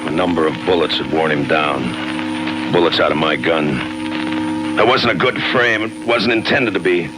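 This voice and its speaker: A man's voice: sits low, normal speed, lightly acted. An actor playing a hardboiled homicide lieutenant in a 1950s radio crime drama, laconic and wry as he talks and narrates. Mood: weary, deadpan narration. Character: hardboiled, sardonic male police homicide lieutenant narrating his case. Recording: noisy old 1950s radio recording.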